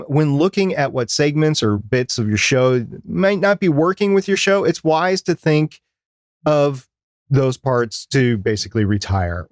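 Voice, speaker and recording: A man's voice: medium pitch, darkish tone, no echo, studio quality. A man hosting a podcast, giving advice in a semi-scripted style. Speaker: podcast host.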